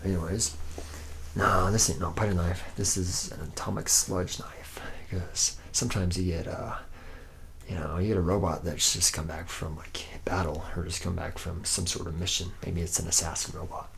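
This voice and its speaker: A man's voice: low-pitched, steady tone, moderate pace, creaky, a bit bright, a little hiss or hum. A man playing a laid-back, boozy womanizing factory worker in an ASMR roleplay, talking in a casual, slangy ramble. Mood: rambling, laid-back.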